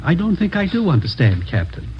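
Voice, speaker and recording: A man's voice: high voice, huge pitch swings, a little fast. A man acting a genteel, ingratiating older confidence man in a 1950s radio drama. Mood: wounded, indignant. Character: smooth-talking, courteous older male confidence man. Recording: muffled, noisy old radio recording.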